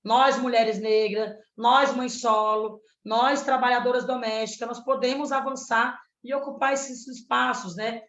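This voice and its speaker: A woman's voice: on the high side, fairly even intonation, measured pace, hardly any pauses, a bit bright. A woman in her thirties from Pernambuco, a candidate for the state legislature, answering in a panel debate with an earnest, activist way of speaking and frequent tag questions. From Pernambuco, Brazil. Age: 30s. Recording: roomy, dull lo-fi sound.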